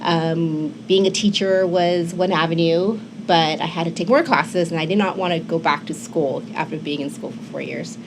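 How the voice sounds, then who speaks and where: Woman in her fifties, low voice, chatty TV guest, studio hiss behind. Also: normal speed, hardly any pauses. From Hong Kong. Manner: warm, chatty, many fillers and restarts. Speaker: immigration attorney, guest. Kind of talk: casual interview talk. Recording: local TV studio with noisy background.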